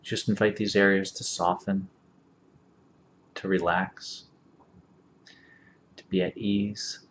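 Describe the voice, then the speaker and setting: A man leading a guided meditation as an instructor, speaking calmly and gently with long, unhurried pauses. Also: low-pitched. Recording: dull lo-fi audio, faint background noise.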